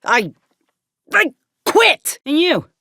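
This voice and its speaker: A woman's voice: high-pitched, very animated, very slow, gravelly, sharp tone, dry recording, heavily acted. An actress playing a plainspoken, stubborn woman soldier in a video game, with folksy, dry, blunt phrasing. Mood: angry, defiant. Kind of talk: video game character dialogue.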